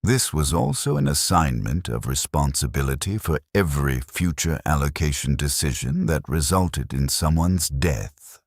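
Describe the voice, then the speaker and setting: A man's voice: very deep voice, animated, moderate pace, husky, no echo, studio quality, heavily acted. A man narrating as a guilt-burdened soldier in a war story, speaking in clipped, formal, detached sentences like a report. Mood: grim, detached confession. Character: guilt-burdened soldier, a logistics corporal, confessing coldly. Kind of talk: scripted first-person war story narration.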